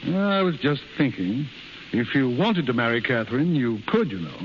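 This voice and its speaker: An actor playing a frank, avuncular, pragmatic American consul in 1930s radio drama style. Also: male voice, medium pitch, sing-song, normal speed. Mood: persuasive. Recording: muffled, hissy 1930s radio broadcast recording.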